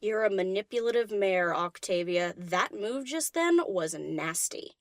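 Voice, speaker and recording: A woman's voice: medium pitch, animated, unhurried, no room sound. Woman playing an easygoing, teasing pony, dry close sound. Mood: playful. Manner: casual, slangy, teasing. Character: easygoing teasing female DJ pony, casual and playful. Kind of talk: fan audiobook character acting.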